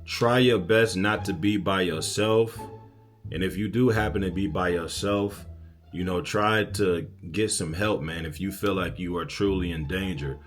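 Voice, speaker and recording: A man with a fairly low voice reacts as a video host. He talks in a casual, slangy, emphatic way, with faint music in the background. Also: unhurried.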